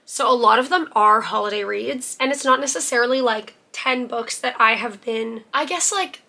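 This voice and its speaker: A young woman with a bright, somewhat high voice, worn hoarse and rusty from laryngitis. She chats casually and a little self-deprecatingly to camera, wandering into asides as she goes. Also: moderate pace. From Canada. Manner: chatty and self-deprecating, with laughs and trailing asides. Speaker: book vlogger.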